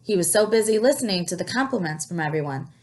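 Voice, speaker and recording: A woman teacher reads a children's picture book aloud with a medium-pitched voice. Her delivery is expressive and animated, and she pauses to explain words and ask her young listeners questions. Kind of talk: expressive read-aloud storytelling.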